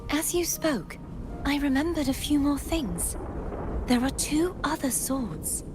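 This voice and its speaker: Young game heroine, high voice, solemn, pensive and measured, troubled, loud music behind. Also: female voice, lively intonation. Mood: solemn, searching. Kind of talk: video game voice acting.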